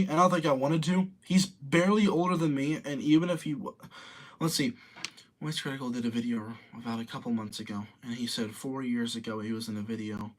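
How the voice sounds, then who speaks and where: A young man in his late teens, casually rambling through commentary and reading viewer comments aloud. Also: mid-range voice. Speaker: streamer reading chat.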